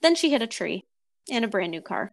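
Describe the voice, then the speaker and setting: A woman's voice: mid-range voice, big pitch swings, brisk. A woman podcast host, talking and reading a story aloud in a dramatic way.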